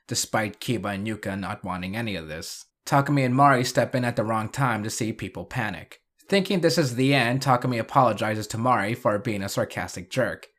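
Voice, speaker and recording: A man narrates a scripted recap as the host of a video. His voice sits at an ordinary middle pitch, and he drops in wry, dry asides as he goes. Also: fairly bright tone.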